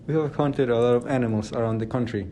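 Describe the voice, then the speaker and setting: Calm, confident hunter, acted by a man: mid-pitched, mellow voice, radio play. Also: fast, a little hiss or hum, lightly acted. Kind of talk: amateur radio drama acting.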